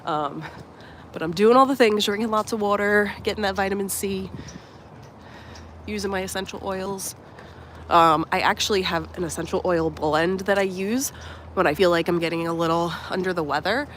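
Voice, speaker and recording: A woman's voice: mid-range voice, normal speed, a little hiss or hum. Earnest young woman, slightly nasal with a cold. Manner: warm, earnest, reflective, many fillers like "um" and "like". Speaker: life coach and channel host. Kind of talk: casual live-stream motivational talk while walking. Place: outdoors at a resort at sunrise.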